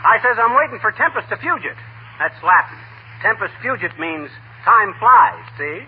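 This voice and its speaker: A man's voice: high voice, animated, moderate pace. An actor playing a boastful, folksy married man who wisecracks with exasperation in a vintage radio comedy. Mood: exasperated, folksy. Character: blustery, boastful married man telling tall tales. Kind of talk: 1940s radio comedy acting. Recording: tinny, noisy old radio recording.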